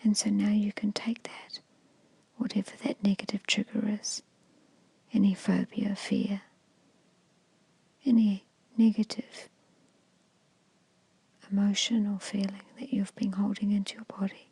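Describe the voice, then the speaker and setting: A woman guides a meditation in an extremely breathy, hushed whisper with a creaky grain to it. She speaks slowly and soothingly, like an ASMR hypnosis storyteller. The sound is close to the microphone and very dry. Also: mid-range voice, monotone, no echo, rough recording.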